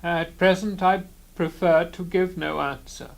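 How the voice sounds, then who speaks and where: An actor playing a withdrawn young law copyist who answers calmly, politely and flatly. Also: male voice, sits high, unhurried, creaky. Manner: calm, polite, quiet, flat refusals. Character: young male scrivener, passive, withdrawn, stubbornly polite. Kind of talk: 1960s radio drama acting.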